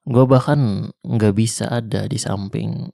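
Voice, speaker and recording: Man playing a gravelly, protective zombie-apocalypse survivor. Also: on the low side, fairly even intonation, unhurried, no echo, studio quality. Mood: gentle teasing. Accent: Jakarta colloquial. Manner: soft, teasing, reassuring. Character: tough male ex-special-forces zombie survivor, protective, flirty. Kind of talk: ASMR roleplay.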